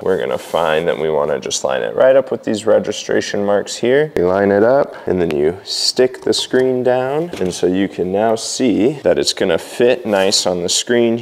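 A young man with a lowish voice walks through his screen printing prep in a casual vlog. He talks easily and explains each step as he goes, clipped with a lapel mic in a large workshop. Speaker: screen printing shop owner. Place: large workshop with wooden floors.

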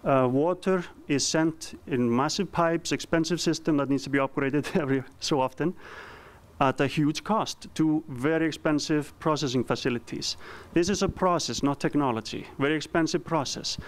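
A man in his thirties from Iceland with an Icelandic accent, giving a conference presentation with a handheld mic, earnest and halting with frequent ums. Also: fairly high pitch. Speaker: company founder giving a presentation. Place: conference room.

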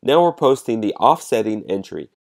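Guy with a lowish voice walking thru software step by step, measured and explanatory. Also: sing-song, gravelly. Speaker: software demonstrator. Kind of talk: step-by-step software demo narration.

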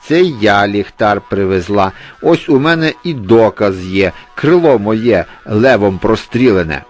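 A man's voice: a little high, huge pitch swings, slow pace, faint music behind, pitched above the performer's own voice, exaggerated voice. A man voicing a cranky, hungry female crow who squawks and scolds in a children's storybook tale. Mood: indignant.

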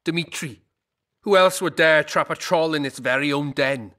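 An actor playing a shrewd, folksy village fur trader in a video game, with a haggling manner. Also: male voice, a little high, moderate pace, bright, forceful tone. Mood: boastful, haggling. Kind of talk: video game character acting.

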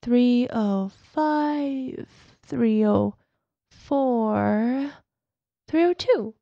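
A very high, dry, close female voice, put on by an actress as an obsessive yandere girlfriend. She is sweet but unstable, speaking dramatically in an intimate ASMR roleplay. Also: expressive, drawn-out words, warm tone, no room sound, highs cut off, heavily acted. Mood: threatening. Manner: dramatic, drops into breathy whispers, cloying pet names.

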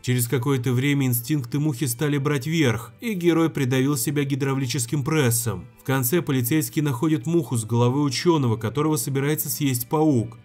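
A male narrator hosts an explainer video with a scripted but informal delivery. He tells things colloquially and slips in slang, at an ordinary pitch. Music plays behind his voice. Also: a little mellow. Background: background music.

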